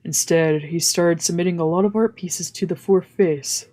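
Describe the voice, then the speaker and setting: A woman's voice: on the low side, steady tone, moderate pace, mellow. A woman reading a visual novel aloud as a streamer, shifting between narration and casual asides, with game music behind her. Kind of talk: dramatic let's play read-aloud with casual asides.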